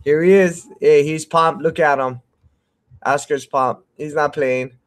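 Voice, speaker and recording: A man's voice: fairly high pitch, steady tone, unhurried. A man in his thirties giving casual, rambling live fight commentary, often repeating his phrases. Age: 30s. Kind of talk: casual live play-by-play chat.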